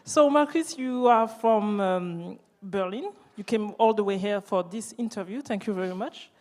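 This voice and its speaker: A woman's voice: mid-range voice, normal speed, darkish tone. Woman interviewing in a hall. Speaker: interviewer, translator. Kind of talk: casual live interview. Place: indoor event hall before an audience. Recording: reverberant hall.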